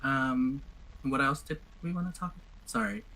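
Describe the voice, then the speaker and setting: A man's voice: mid-range voice, normal speed, pushed and bright, rough recording. A man from Vietnam, a drag performer, talking on an online panel in a shy, stammering, apologetic way. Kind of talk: casual online panel chat.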